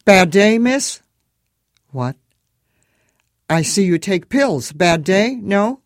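Narrator doing a kindly Moldovan cab driver, higher and louder, clipped broken english, dry sound. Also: male voice, very animated, takes it slowly, dry recording, full character voice. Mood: friendly. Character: Moldovan immigrant male cab driver, chatty and kind.

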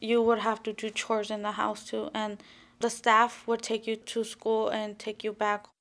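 A young woman from Mexico, in her late teens, talks through her story in an easy, casual way. Her voice carries a gravelly fry and stays fairly flat in pitch. She leans on "like" and "you know" as she goes. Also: measured pace. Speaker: interviewee. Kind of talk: casual interview talk.

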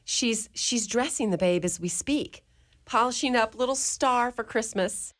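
A woman's voice: fairly high pitch, takes it slowly. A woman playing a wry mother superior. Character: Irish mother superior of a convent orphanage, warm, wry. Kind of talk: community radio play acting.

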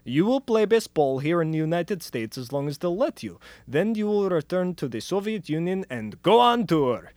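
A man's voice: high-pitched, very animated, normal speed, few pauses, a little mellow, no room sound. A man playing a pompous Soviet office manager, self-important and unctuous, in a comic radio play. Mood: fawning, grand. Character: pompous male Soviet office manager, menacing turned fawning.

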